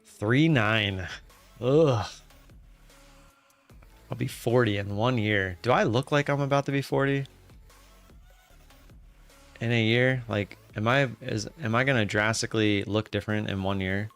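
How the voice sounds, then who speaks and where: A man's voice: lowish voice, a little slow, pauses often, soft background music. A man in his late thirties chatting casually on a live stream, rambling with many fillers and reading out viewer comments. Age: 30s. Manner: rambling, with frequent "uh" fillers and restarts.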